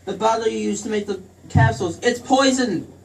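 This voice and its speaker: A high, pleading young boy acted by a man in an old-time radio play. He is earnest and scared, heard from a distance through noticeable noise. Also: fairly quick. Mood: frightened, pleading. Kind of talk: 1940s radio drama acting. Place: theater stage. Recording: distant stage pickup with clear noise.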